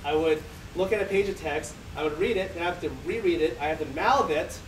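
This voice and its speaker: A man's voice: on the high side, a little fast. A man whose first language is Chinese, giving a conference talk with self-deprecating jokes and many fillers. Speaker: conference presenter. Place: large conference hall. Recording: echoey hall, distant mic, room noise.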